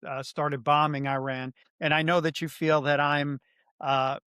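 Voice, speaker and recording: A middle-aged man co-hosting a political podcast, talking in a measured, thoughtful way with frequent restarts. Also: a little high, little pitch movement, bright, forceful tone, clean tone, dry recording, studio quality. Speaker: podcast co-host. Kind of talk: political commentary.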